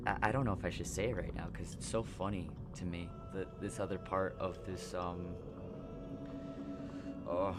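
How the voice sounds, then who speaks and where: A man casually commenting on a game he plays, rambling with hesitant fillers and laughter, game music behind. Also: on the low side. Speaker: gameplay commentator. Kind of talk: casual let's play commentary.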